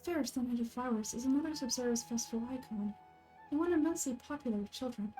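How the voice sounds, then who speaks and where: A woman voicing a polite, well-informed young noblewoman in a game, explaining things courteously and gently. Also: on the high side, quick pace, faint music behind, lightly acted. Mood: pleased, informative.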